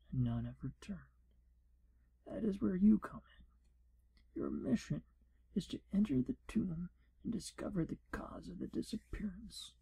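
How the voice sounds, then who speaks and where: A man playing a pompous, evasive wizard proctor in an ASMR fantasy role play, speaking in a hushed, breathy half-whisper. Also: high-pitched, exaggerated voice, low-quality recording. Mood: grave, theatrical. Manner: pompous, wry, evasive, theatrical storytelling. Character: pompous, shifty male wizard proctor giving an exam.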